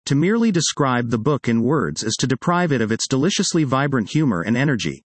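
A man narrating a magazine article aloud, with an expressive read-aloud delivery. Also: medium pitch, fast, husky, dry recording.

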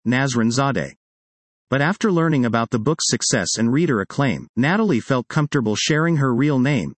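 Man reading an article aloud, lively. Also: medium pitch, no echo. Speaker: narrator.

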